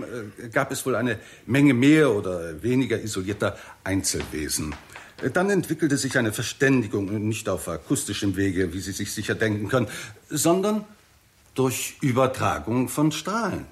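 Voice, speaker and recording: An actor playing a confident scientist who lectures deliberately and emphatically in a 1960s German radio drama. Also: male voice, medium pitch, sing-song, close to an everyday voice. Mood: explanatory, thoughtful. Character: male scientist, confident, explanatory, theorising.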